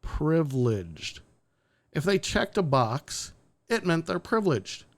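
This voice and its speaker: A man's voice: fairly high pitch, sing-song, slow pace. A man hosting a live-streamed talk show, talking casually and bluntly with sarcastic asides and swearing. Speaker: podcast host. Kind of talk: casual opinionated chat.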